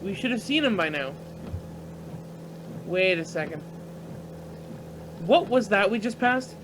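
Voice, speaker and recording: An easygoing, bold driver in a radio play, acted by a man, talks in a folksy, offhand way. The pitch moves around a lot, over faint vehicle noise. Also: expressive, measured pace. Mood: concerned, decisive.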